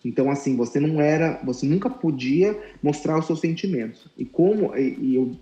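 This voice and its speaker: Earnest thirties man, mellow tone, reflective and halting, echoey room. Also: on the high side. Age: 30s. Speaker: guest, former client. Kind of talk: candid interview talk. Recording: roomy echo.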